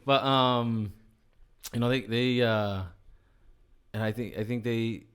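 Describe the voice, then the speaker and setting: A man whose first language is Spanish, talking as a company executive guest in a casual podcast conversation, explaining things with animated enthusiasm. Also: medium pitch, slow, clean tone.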